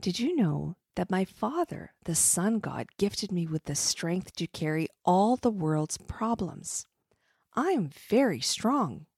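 A woman voicing a kind, wise young princess who speaks sweetly and softly. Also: fairly low pitch, sing-song, measured pace, vocal fry, few pauses, slightly rough, dry recording, studio quality, heavily acted. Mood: tender. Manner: sweet and soft, tender.

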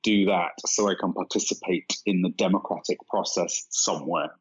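An enthusiastic man in his forties with a London accent softened by years in America, talking eagerly as an interview guest. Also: sits low, fairly even intonation, normal speed, husky, lo-fi sound. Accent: London English softened by American. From London, England. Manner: chipper, enthusiastic, with frequent restarts. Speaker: guest, travel writer. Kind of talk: conversational interview.

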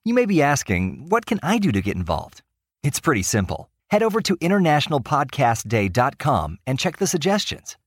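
A man's voice: on the high side, very animated, a little fast, no room sound, clean studio sound. A man reading a scripted promotional spot in an upbeat, polished announcer style.

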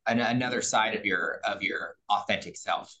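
A man's voice: on the low side, normal speed, crowd noise, low-quality recording. A man hosting a podcast in a conversational way, wordy, repeating and rephrasing himself as he goes. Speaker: podcast host. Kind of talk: conversational interviewing.

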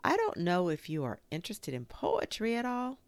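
A woman's voice: lowish voice, wildly swooping pitch, normal speed, dry recording, voice pushed up. Woman voicing an earnest, simple-minded male bear. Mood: earnest, puzzled. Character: amiable, slow-witted male toy bear.

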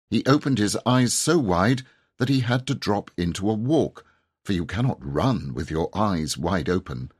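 Male storybook narrator: lowish voice, lively melodic range, measured and dramatic delivery. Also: slightly rough. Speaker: audiobook narrator.

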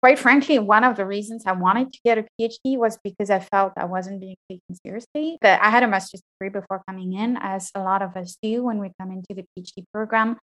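A woman with a fairly high voice speaks thoughtfully as an interview guest. She is frank about her experience and often stops to restart a sentence. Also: steady tone, quick pace, hardly any pauses, a bit bright, poor audio. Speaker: interview guest, PhD graduate. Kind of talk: reflective interview answers.

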